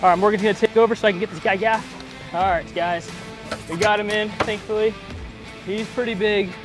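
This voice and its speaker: A man's voice: sits high, steady tone, brisk. A man hosting a casual fishing vlog outdoors on a boat. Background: music and boat sounds.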